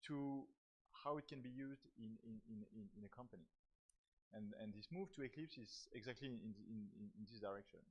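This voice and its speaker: A man from France, a professor of software technology, giving a conference talk with many fillers and repeated words. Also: mid-range voice. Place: conference hall.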